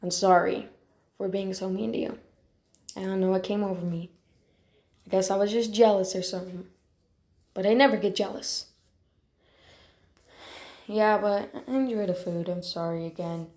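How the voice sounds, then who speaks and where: A woman's voice: mid-range voice, steady tone, normal speed, long pauses, a little mellow, lightly acted. A woman voicing a cocky, boastful young warrior cat in amateur character voice lines, with a dramatic, teasing manner. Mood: sheepish, apologetic. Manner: bragging, taunting, dramatic. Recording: roomy home recording.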